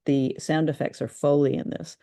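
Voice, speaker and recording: A woman chats casually as a co-host, full of praise and enthusiasm. Her voice is soft-edged and mellow, with a noticeable fry running through it. She sounds relaxed in tone even as she gushes. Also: sits low, moderate pace. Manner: enthusiastic, gushing. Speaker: podcast co-host reviewing old radio plays. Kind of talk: casual conversational review.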